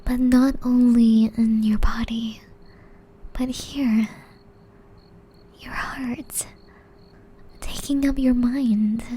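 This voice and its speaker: A woman playing a gentle, centuries-old banished witch in an ASMR roleplay, speaking softly and breathily, often whispering, with a slow, tender, pleading manner. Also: fairly high pitch, flat delivery, heavily acted. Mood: wistful, tender. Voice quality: soft, breathy, largely whispered.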